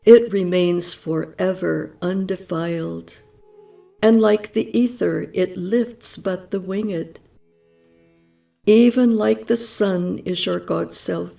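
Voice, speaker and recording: Slow, gravelly woman reading poetry. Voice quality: gravelly, low and mellow. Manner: measured and reverent, with long pauses. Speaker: audiobook reader. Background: soft music.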